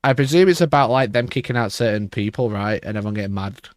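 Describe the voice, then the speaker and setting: A man with a rough, hoarse voice, chatting casually on a livestream and rambling with frequent restarts. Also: on the low side, animated, fast talker, no echo, clean studio sound. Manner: rambling, restarts mid-sentence. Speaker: livestream host.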